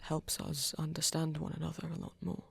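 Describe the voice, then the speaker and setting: A performer playing a flirty, earnest vampire in an ASMR romance roleplay, speaking haltingly and intimately in a husky, often half-whispered voice. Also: medium pitch, completely flat delivery, no room sound, exaggerated voice. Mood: tender, reassuring. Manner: hesitant, halting, breathy and intimate. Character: flirty, earnest vampire confessing love, soft-spoken.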